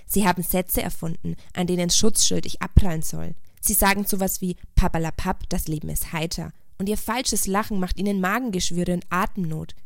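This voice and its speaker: A woman's voice: lowish voice, steady tone, moderate pace, creaky, hardly any pauses, dry recording, clean studio sound. A woman voicing sadness as a weary, shy grey spirit in a storybook, with a brittle, hesitant, plaintive delivery. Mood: plaintive, earnest.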